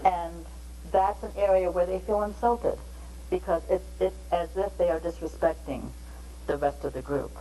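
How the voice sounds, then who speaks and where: A woman giving a measured, explanatory training lecture on interpreting, pausing between phrases. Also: fairly low pitch, warm tone, soft background music, low-quality recording.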